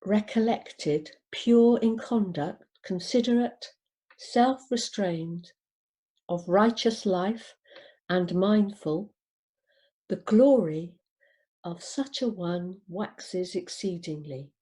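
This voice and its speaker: A woman reading scripture aloud in a measured, deliberate way, pausing between short phrases. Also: medium pitch, mellow. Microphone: video call over Zoom. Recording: dull, lo-fi video call.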